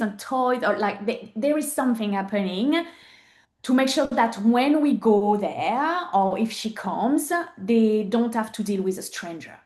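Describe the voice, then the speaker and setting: A woman in her thirties from France with a French accent, a native French speaker talking candidly as an interview guest, earnest and often pausing with fillers to find the right English word. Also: a little high, darkish tone. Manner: earnest, searching for words with frequent fillers. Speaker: podcast guest.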